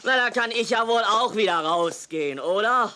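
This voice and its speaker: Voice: medium pitch, normal speed, pushed and bright, lightly acted. An actor playing a sarcastic, unimpressed shopkeeper husband in a children's audio play, dry and dismissive. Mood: weary sarcasm. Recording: faintly noisy 1980s cassette.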